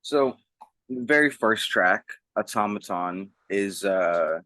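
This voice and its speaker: Low-pitched man interviewing, casual and enthusiastic. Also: animated, slow pace, sharp tone, no room sound. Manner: enthusiastic, informal, swears casually. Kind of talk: conversational music interview.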